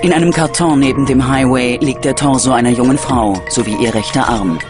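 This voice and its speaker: A man's voice: mid-range voice, fairly quick. A man narrating a true-crime documentary from a script in a dramatic, suspenseful manner. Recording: prominent music under the voice. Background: music.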